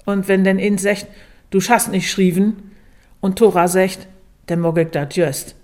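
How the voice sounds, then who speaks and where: A woman's voice: mid-range voice, fairly even intonation, measured pace, a little mellow. A woman with a northern German accent speaking conversationally as an interviewee.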